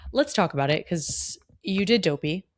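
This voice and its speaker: A woman's voice: fairly low pitch, lively intonation, moderate pace. A woman hosting a podcast, chatting casually with short, upbeat reactions. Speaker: podcast host. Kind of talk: casual interview chat.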